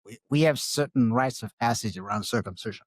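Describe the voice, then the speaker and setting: A man from Tanzania, a Swahili speaker, talking as a guest on a podcast in a measured, reflective way. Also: mid-range voice, dry recording, studio quality. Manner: measured and reflective, pausing to pick words. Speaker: podcast guest, social work scholar. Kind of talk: conversational interview. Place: live recording at a conference.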